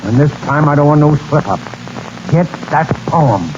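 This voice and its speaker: An actor playing an impatient, bossy gang boss who scolds in slangy tough talk in a 1940s radio drama. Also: male voice, fairly high pitch, animated, moderate pace, natural voice. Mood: irritated, demanding. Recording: muffled, noisy 1940s radio recording.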